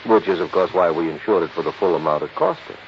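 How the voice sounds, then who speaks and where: An actor playing a worried, pessimistic American insurance executive in a 1950s radio drama, explaining emphatically and prodding with questions. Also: male voice, low-pitched, quick pace. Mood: emphatic explaining. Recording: dull, hissy 1950s radio recording.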